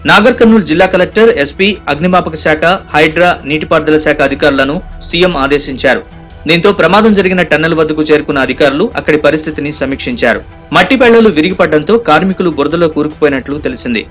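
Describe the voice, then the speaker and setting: A man's voice: a little high, fast, a bit bright, faint music behind. A man reading scripted news narration in a formal, urgent manner. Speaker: news reporter doing voice-over.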